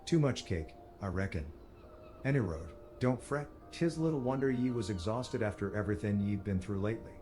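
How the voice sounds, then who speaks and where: A man plays a folksy male sailor in a game, fairly low in pitch. His talk is colloquial and teasing, with music behind it. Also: lively intonation, moderate pace, a little mellow, lightly acted. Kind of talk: scripted video game dialogue.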